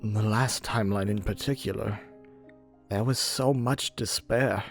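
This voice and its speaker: A man's voice: lowish voice, fairly even intonation, unhurried, creaky. A man voicing a troubled young skeleton monster in a comic dub, hesitant, brooding and full of guilt. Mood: haunted. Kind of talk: amateur comic dub voice acting. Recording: music bed under the voice.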